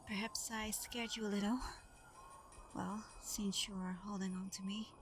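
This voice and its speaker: A woman acts out Santa's adopted daughter in an ASMR audio roleplay. She is tender and a bit shy, speaking softly and often in a near whisper. Her medium-pitched voice stays fairly flat in melody, with clear ambient noise behind it. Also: normal speed, close to an everyday voice. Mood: soft, teasing. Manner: soft, tender, hesitant, partly whispered. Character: Santa's gentle adopted adult daughter, shy, affectionate sleigh driver. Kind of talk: intimate ASMR roleplay, soft-spoken and breathy. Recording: clearly noisy background ambience.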